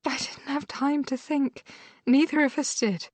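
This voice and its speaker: Woman reader plays an injured, grieving young woman: raspy, sore, slightly slurred, voice cracking, pitched up from the narration, dry booth. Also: fairly even intonation, unhurried, a little mellow, no echo. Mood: shaken.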